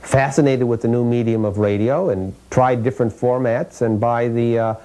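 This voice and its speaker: Mellow American man explaining haltingly. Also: mid-range voice, fairly even intonation, low-quality recording. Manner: halting, searching for words, explanatory. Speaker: radio historian, interviewee. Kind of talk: explanatory interview commentary.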